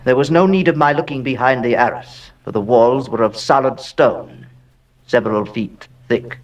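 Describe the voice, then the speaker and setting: An actor playing a haunted, aristocratic heir who recounts Gothic horror in a theatrical, deliberate way with dramatic pauses. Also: male voice, medium pitch, far from the mic, lo-fi sound, natural voice. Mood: uneasy, dreading. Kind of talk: dramatic horror narration, audio drama monologue.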